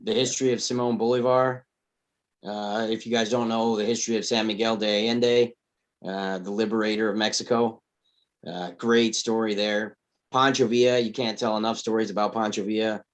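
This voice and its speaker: Low-voiced man chatting casually. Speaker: table read participant. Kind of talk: casual group discussion.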